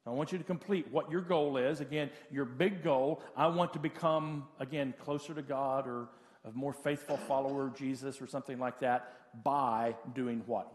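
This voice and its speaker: A man in his late forties preaching a conversational sermon as a pastor, giving practical advice in a halting way and repeating phrases for emphasis. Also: medium pitch. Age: late 40s. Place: church. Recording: hall reverb of a large room.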